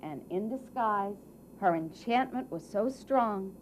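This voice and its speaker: A woman narrating a scripted fairy tale for a puppet show in a theatrical way, with dramatic pauses and emphasis. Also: mid-range voice, expressive. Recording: muffled, faint background noise.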